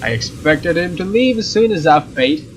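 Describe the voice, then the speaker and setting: A man's voice: a little high, expressive, moderate pace. A young man doing a let's play, reading a visual novel aloud and adding casual commentary. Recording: dull lo-fi mic, faint noise.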